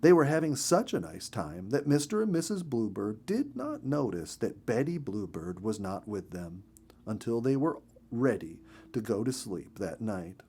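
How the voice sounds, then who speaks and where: Expressive man narrating a children's story. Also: medium pitch, moderate pace, breathy edge.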